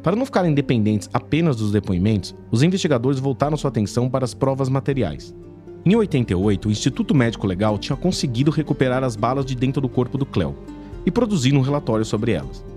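A man narrates a true-crime story at a brisk clip, his voice dark and mellow. He lays out the investigation in a measured, reporter's manner. Faint music sits under him. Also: medium pitch, sing-song.

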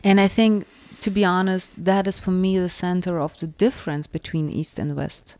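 A woman from the former Soviet Union, a native Russian speaker with a Russian accent, answering interview questions in a reflective way and often pausing to search for words. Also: fairly low pitch, steady tone, a little mellow, dry recording. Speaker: author and interviewee.

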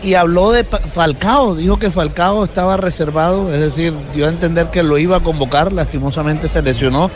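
A man's voice: on the high side, a little fast, hardly any pauses, music in the background. A man with a Colombian accent giving an unscripted sports report on a radio panel.

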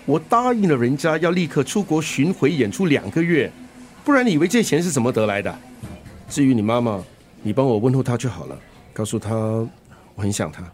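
A man's voice: medium pitch, big pitch swings, a little fast, close to an everyday voice. An actor playing a breezy, caring middle-aged father and musician in a radio drama, flippant, with a Singaporean accent. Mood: hurried, affectionate. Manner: flippant, bantering. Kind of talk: Mandarin radio drama acting.

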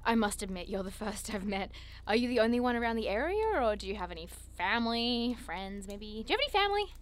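Eager, polite, higher-voiced young enthusiast. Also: female voice, big pitch swings, fast talker. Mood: curious, friendly. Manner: gushing, polite, earnest. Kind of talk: audio drama acting.

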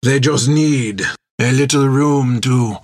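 Man putting on a suave, sinister Frenchman. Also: medium pitch. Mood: smug, persuasive. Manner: formal, deliberate, florid. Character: suave, boastful, sinister Frenchman handy with daggers.

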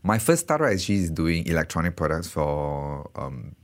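A man from Malaysia, a startup founder, answering interview questions in a halting way, repeating words. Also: rumbling low, sing-song.

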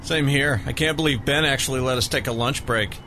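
A man's voice: mid-range voice, a little fast, noisy background, close to an everyday voice. A man playing a tired, agreeable news cameraman in a scripted radio drama. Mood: resigned, friendly.

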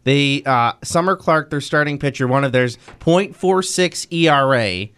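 A man reading high school softball and baseball scores on a radio show, brisk and matter-of-fact. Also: animated, clean tone. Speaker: sports radio host giving score updates.

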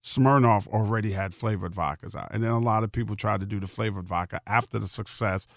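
A man's voice: lowish voice, a little fast, no room sound, studio quality. A middle-aged American man hosting a solo podcast, talking casually in a rambling, emphatic way with repeated phrases and salty slang. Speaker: podcast host.

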